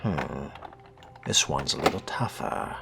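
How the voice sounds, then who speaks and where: A man with a very deep voice muses his way through a roleplaying game. He is slow and measured, with wry, formal phrasing and lively pitch movement. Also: gravelly, heavy background noise. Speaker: roleplaying gamer narrating a playthrough. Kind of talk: in-character game roleplay, musing and reading aloud.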